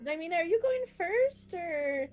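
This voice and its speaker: Female game player, high and swoopy pitch, casual chat, voices audible behind her. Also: animated, a little slow, a crowd in the background. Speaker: player in a tabletop role-playing game.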